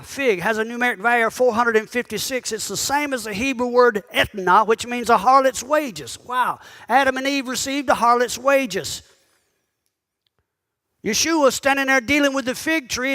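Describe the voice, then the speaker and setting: Male pastor, very high voice; chatty sermon that prods the congregation with questions. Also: normal speed, pushed and bright. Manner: conversational preaching that calls out to the congregation; asks the listeners pointed questions. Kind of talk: sermon teaching.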